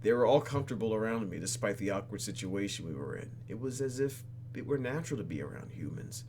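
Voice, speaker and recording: Playful man with lively pitch, gaming host. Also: quick pace, slight background noise. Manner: playful, jokey asides. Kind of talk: let's play commentary with expressive read-aloud narration. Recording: game music under commentary.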